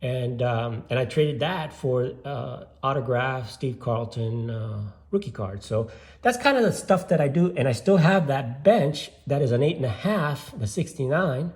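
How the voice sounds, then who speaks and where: A man's voice: mid-range voice, expressive, a little slow, darkish tone. Older man from Cuba, echoey room. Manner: rambling, with many fillers and restarts. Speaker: card collector showing his collection. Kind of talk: casual show-and-tell vlog.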